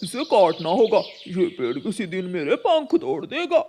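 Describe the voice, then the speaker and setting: A woman's voice: medium pitch, big pitch swings, moderate pace, mellow, a little hiss or hum, natural voice. A woman voicing a selfish, grumpy male crow who bullies his neighbours in a cartoon. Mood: grumbling. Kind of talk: Hindi cartoon voice acting.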